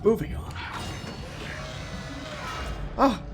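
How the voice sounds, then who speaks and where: A man giving casual, rambling let's play commentary, often interrupting himself with playful wording. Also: very slow. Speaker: gaming video host. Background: game music.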